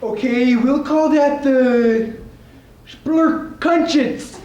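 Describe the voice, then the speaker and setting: A helpful but clumsy butcher, acted by a man in a stage comedy, casual and easily flustered. The voice sits very high and moves slowly and haltingly, in an echoey room with faint noise. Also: a little mellow, muffled recording, full character voice. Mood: casual, goofy. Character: bumbling, helpful butcher with knives, easily frustrated. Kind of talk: improvised comedy sketch on stage. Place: live stage before an audience.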